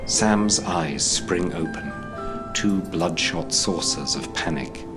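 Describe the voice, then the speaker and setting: A man narrates a drama script in a deep, low voice. Loud music plays behind his scripted delivery. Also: slow.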